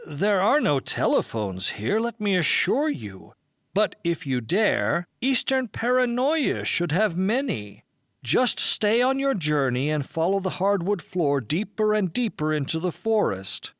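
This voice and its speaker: A man's voice: sits high, big pitch swings, a little slow, a bit bright, no room sound, clean studio sound, full character voice. A man voicing an eccentric, self-assured forest dweller giving directions formally and emphatically in an audiobook. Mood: cryptic, assured. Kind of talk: audiobook character voice.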